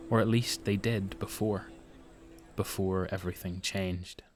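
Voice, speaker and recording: A man's voice: low voice, steady tone, unhurried, over music. A man with a Northern Irish accent giving scripted, dramatic narration for an audio drama. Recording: noticeable background noise.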